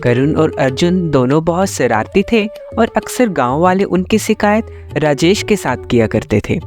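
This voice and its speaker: High-voiced male story narrator. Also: very animated, normal speed, darkish tone, faint music behind.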